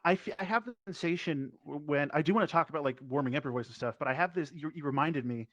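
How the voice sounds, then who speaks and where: A man's voice: fairly high pitch, fast, fairly bright tone, no room sound, muffled recording. A man teaching performance, speaking reflectively and explaining his thoughts in a casual panel talk over a VR headset microphone.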